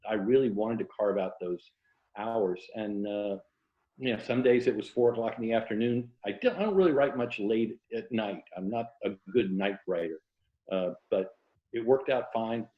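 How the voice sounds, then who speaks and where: A man's voice: fairly low pitch, moderate pace. Older-ish american guy chatting about his work in an interview, reflective, roomy dull recording. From Tampa, Florida, United States. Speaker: interview guest, writer and former journalist. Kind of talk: casual interview talk. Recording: roomy echo, dull muffled sound.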